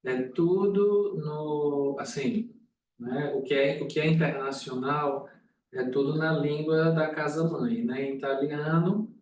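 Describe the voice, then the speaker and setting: A man making informal, halting remarks at a meeting, often correcting himself. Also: a little high, darkish tone. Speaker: meeting participant. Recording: echoey room, distant mic.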